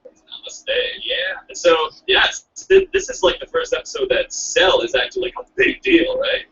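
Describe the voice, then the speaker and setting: A man's voice: very high-pitched, wildly swooping pitch, moderate pace, sharp tone. A man hosting a casual podcast chat over a call, laid-back and jokey, swearing casually. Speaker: podcast host. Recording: distant lo-fi call audio with faint hiss.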